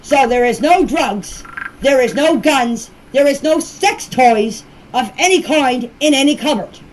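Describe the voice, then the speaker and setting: A woman with a high-pitched voice gives her own side of things in an informal voice clip. She is defensive and insistent, stressing her points firmly. There is faint background noise on the amateur recording. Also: expressive, unhurried, rough recording. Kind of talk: personal account answering questions in a voice message.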